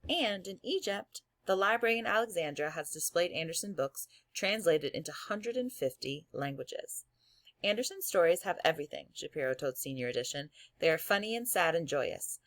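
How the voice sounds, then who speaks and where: A woman's voice: lowish voice, lively intonation, moderate pace, fairly bright tone, dry recording. A woman schoolteacher reading aloud and giving her class encouraging, step-by-step instructions in a recorded lesson. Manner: addresses pupils directly, encouraging, step-by-step. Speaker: elementary school teacher.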